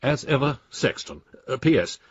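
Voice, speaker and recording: An actor playing an exasperated older English adviser, husky, clipped and scornful, reading his letters aloud in a radio drama. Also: mid-range voice, lively intonation, normal speed, no echo. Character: blunt, exasperated old friend and professional adviser, male. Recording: dry, slightly dull studio sound.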